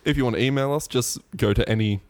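This is a man who co-hosts and edits a podcast. He chats in a relaxed way and slips in dry jokes. His voice sits at an average middle pitch. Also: quick pace. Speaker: podcast co-host and editor. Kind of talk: casual chat.

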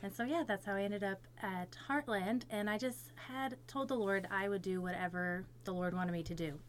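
A woman's voice: mid-range voice, fairly even intonation, moderate pace, a little hiss or hum. A woman in her thirties from Florida, a missionary guest giving a conversational personal testimony. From United States, Florida.

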